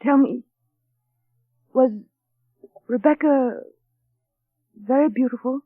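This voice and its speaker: Tinny, high-voiced timid young wife. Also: female voice, slow pace, no echo, soft background music. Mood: anxious, earnest. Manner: hesitant, earnest, pleading. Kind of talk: 1930s radio drama acting. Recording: tinny, band-limited old radio recording.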